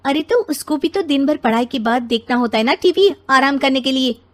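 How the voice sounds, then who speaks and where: A woman voicing an irritated, bossy young mother and wife who scolds and retorts sharply in an animated family story. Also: high voice, moderate pace, voice pushed up. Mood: annoyed.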